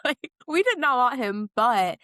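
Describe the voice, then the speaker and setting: A woman's voice: a little high, sing-song, unhurried, pushed and bright, dry recording, studio quality. A young adult woman co-hosting a podcast, chatting casually about pop culture in an informal, slangy and playful way. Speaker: podcast co-host.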